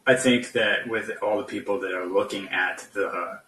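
A man talking as a podcast guest, discussing politics in a halting, hesitant way, searching for words. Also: on the low side, fairly even intonation, pushed and bright. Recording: roomy, echoey, distant from the mic.

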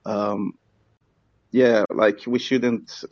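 A man's voice: on the low side, little pitch movement, very slow, darkish tone, dry recording, highs cut off. A man from Sweden calling in, speaking English with a Swedish accent, hesitant and apologetic, restarting his sentences often. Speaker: caller. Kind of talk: call-in chat.

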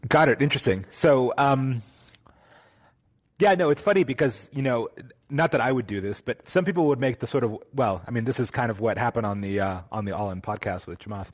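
Male podcast host, casual questions, mid pitch, relaxed phrasing. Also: brisk. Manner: casual, loosely phrased with fillers.

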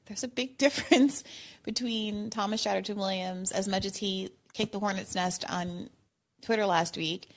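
A woman's voice: medium pitch, steady tone, normal speed. Casting: female call-in host, mid-range voice, conversational political commentary. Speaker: talk show host.

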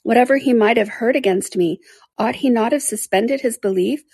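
A woman's voice: a little high, moderate pace, darkish tone, husky, dry recording, clean studio sound, pitched above the performer's own voice. A woman reading aloud and voicing a heartbroken, passionate young woman who pleads in anguish. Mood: distraught.

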